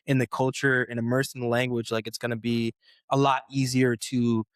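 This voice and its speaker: A man's voice: mid-range voice, level tone, moderate pace, smooth, dry recording, clean studio sound. A young American man, a professional footballer, chatting casually as a podcast guest, rambling with many restarts and fillers. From United States. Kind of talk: casual interview chat.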